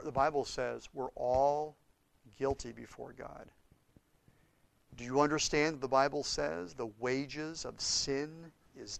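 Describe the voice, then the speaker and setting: Man preaching, fired up then quiet, slightly high voice, dry sound. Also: creaky, no echo. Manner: impassioned preaching, swings from loud to hushed. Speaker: pastor. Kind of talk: sermon.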